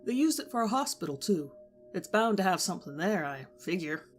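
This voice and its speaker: A woman's voice: lowish voice, sing-song, a little fast, soft background music. A woman voicing a jittery young man. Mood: matter-of-fact. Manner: hushed, uneasy. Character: jittery young man, friend on a ghost hunt.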